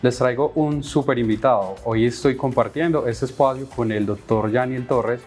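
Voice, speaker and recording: A man hosting a podcast, earnest and pausing often while asking questions. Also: medium pitch, a little mellow, faint music behind. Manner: earnest, many fillers and restarts when asking questions. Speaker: podcast host.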